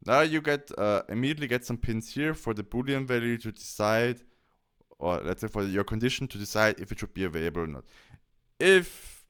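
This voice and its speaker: Guy doing a step by step software tutorial, lowish voice, pitch moves around a lot. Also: clean tone. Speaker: tutorial presenter.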